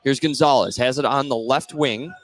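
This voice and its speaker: A male play-by-play announcer calls a live basketball game for radio. His voice sits at an average pitch, with a steady layer of gymnasium noise behind it. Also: normal speed. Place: indoor school basketball gym. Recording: noisy courtside gym broadcast. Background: clear gym noise.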